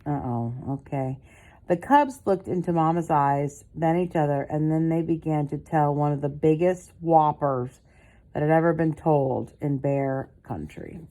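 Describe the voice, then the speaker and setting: Low-voiced older woman reading a bedtime story. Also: unhurried, low-quality recording. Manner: expressive, commenting as she reads. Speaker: grandmother reading aloud. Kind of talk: bedtime story reading with casual asides to a child.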